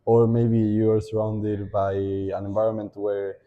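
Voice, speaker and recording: A young man with an Argentine Spanish accent, Spanish as his first language, talking as a podcast guest in a thoughtful way, pausing to think and often restarting his sentences. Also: lowish voice, completely flat delivery, phone-quality sound. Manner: reflective, thinking aloud with many fillers and restarts. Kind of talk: casual podcast conversation.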